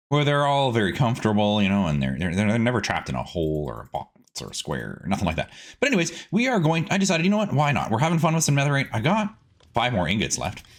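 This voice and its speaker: A man hosting a casual gaming video, chatting to himself playfully as he plays, with silly words and sing-song asides. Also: medium pitch. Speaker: gaming host. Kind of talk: casual game commentary, let's play.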